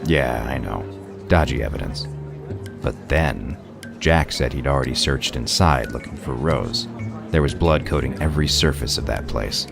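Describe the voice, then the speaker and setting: Man playing a very deep, gravelly sardonic detective. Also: moderate pace. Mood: dry, matter-of-fact. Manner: dry, sardonic. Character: gruff, sardonic male detective laying out a case. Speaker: voice actor in an audio drama. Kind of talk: audio play acting, detective explaining a case. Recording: music bed under the voice.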